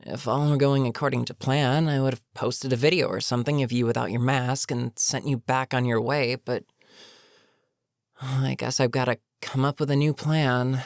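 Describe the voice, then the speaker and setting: Voice actor doing a tired older villain for audio rp, gravelly n dry, super close sound, wry and kinda protective. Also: mid-range voice, fairly even intonation, no echo, natural voice. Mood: weary, pondering. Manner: sighing, deliberate, wry. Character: older, experienced villain, weary, dryly sarcastic, reluctantly protective. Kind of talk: intimate audio roleplay monologue.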